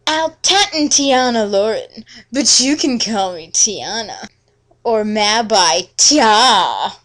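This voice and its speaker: A woman's voice: a little high, expressive, takes it slowly, a bit bright. A woman voicing a vain, hot-tempered teenage girl heroine with sassy, exaggerated melodrama in a fan fiction reading. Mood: sulky.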